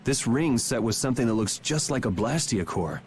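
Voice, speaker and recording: A man's voice: mid-range voice, lively intonation, moderate pace, a little hiss or hum, lightly acted. A man playing a wry young ex-knight in a video game, laid-back, dry and teasing in delivery. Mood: casual. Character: cool, wry young male ex-knight swordsman. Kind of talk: English video game voice acting.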